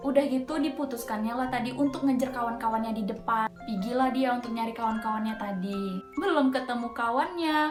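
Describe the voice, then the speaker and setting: A woman's voice: on the high side, brisk. A young woman with a Medan accent, telling a mountain ghost story in a chatty, slangy way with lots of filler words. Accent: Medan Indonesian. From Medan, Indonesia. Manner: chatty, slangy, tosses in frequent filler interjections. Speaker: storyteller host. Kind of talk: casual horror storytelling. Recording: echoey room with background music.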